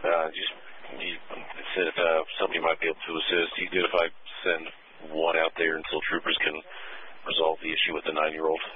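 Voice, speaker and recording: Deep-voiced man on tinny radio. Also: monotone, a little fast. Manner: hesitant, many uhs. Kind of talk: radio dispatch. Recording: tinny radio with faint noise.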